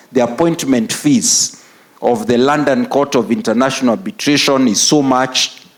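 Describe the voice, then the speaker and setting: A man moderating a legal panel, asking long, measured questions. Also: medium pitch. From Kenya.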